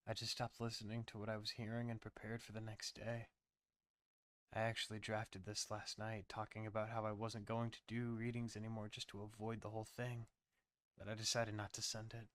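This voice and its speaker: A man's voice: on the low side, monotone, normal speed, a bit bright. A man narrating a scripted first-person horror story on a close mic.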